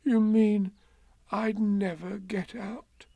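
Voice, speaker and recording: Storybook toy bear: slow, hesitant, self-pitying, voice swooping up into plaintive worry. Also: male voice, mid-range voice, lively intonation, creaky, dark tone, no room sound, heavily acted. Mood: anxious, gloomy. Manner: hesitant, plaintive, drawn-out delivery.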